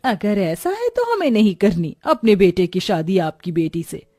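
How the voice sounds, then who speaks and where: A woman acting a rich, greedy mother-in-law from a cartoon tale. She talks in an imperious, dismissive way, with a mellow tone at middle pitch. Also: wildly swooping pitch, moderate pace, no echo, clean studio sound. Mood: haughty, dismissive.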